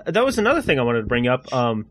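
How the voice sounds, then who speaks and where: A man's voice: mid-range voice, huge pitch swings, very fast, a bit bright. A man hosting a casual discussion, informal and stop-start in his phrasing. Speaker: host, moderator. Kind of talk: casual chat.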